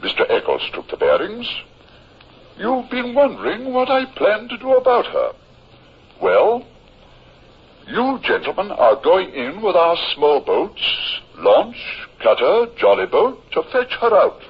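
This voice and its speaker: An actor playing a courteous, commanding frigate captain who hems and halts, in a 1950s radio drama. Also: male voice, high voice, wildly swooping pitch, heavily acted. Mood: courteous, authoritative. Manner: halting, murmuring uhs, formally polite. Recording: muffled, husky old radio recording.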